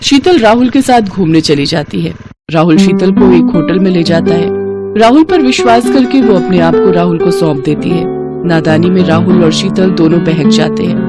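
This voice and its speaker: A woman reading scripted narration as the storyteller of a dramatized tale. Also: fairly low pitch.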